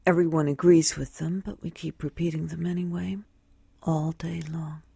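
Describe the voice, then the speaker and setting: A brooding, lovesick confider in a radio drama. Her low voice is extremely husky and breathy, almost whispered. She speaks intimately and deliberately. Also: flat delivery, dark tone, dry recording, exaggerated voice. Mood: musing. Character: brooding, lovesick woman confiding painful thoughts. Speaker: actress in a radio play.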